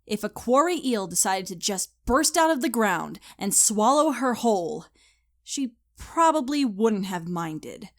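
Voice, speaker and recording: A woman's voice: mid-range voice, sing-song, unhurried. A woman reading scripted story narration expressively, as the narrator of a comic tale.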